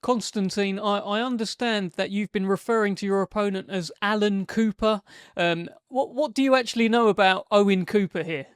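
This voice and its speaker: A man's voice: sits high, moderate pace, smooth, dry recording, clean studio sound. A man working as a host and interviewer, presenting a promotional sports face-off and putting questions to his guests.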